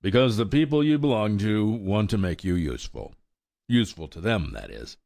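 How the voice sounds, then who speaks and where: A man voicing a sorrowful, resigned old mare in a storybook reading, speaking slowly with sighs. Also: fairly low pitch, animated, vocal fry, darkish tone, no echo, voice pushed up. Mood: cold. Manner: slow, sighing, world-weary.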